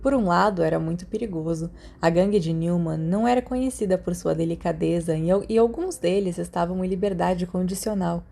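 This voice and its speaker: Mellow Brazilian woman narrating fiction. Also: on the low side, brisk, vocal fry, hardly any pauses. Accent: Brazilian Portuguese. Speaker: podfic narrator. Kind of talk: scripted audiobook narration of fiction.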